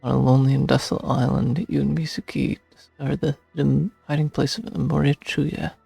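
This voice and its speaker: A man's voice: medium pitch, flat delivery, fairly quick, gravelly, dark tone, breathy edge, no room sound, soft background music. A man giving casual game playthrough commentary and reading on-screen text aloud on a close mic. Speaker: game streamer.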